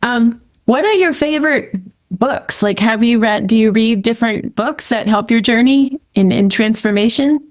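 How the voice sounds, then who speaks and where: A woman's voice: on the high side, moderate pace. A woman hosting a podcast, chatting casually in an enthusiastic, affirming way. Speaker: podcast host. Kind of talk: casual conversational interview.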